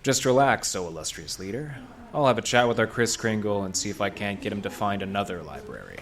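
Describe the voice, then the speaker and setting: An actor playing a wry, slangy hard-boiled detective with a soft heart, in noir radio drama style. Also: male voice, on the low side, lively intonation, a little fast. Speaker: lead actor and narrator.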